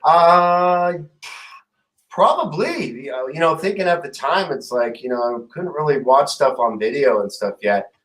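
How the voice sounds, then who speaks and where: A man's voice: a little high, animated, a little slow, rough recording. Effusive middle-aged male talk host. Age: 50s. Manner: warm, effusive, rambling. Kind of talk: casual interview chat.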